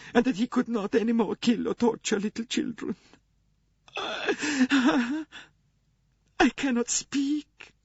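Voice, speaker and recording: A man voicing a weeping middle-aged Swedish nurse, high and tremulous with sobs. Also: husky, no room sound, exaggerated voice. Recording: dull lo-fi recording.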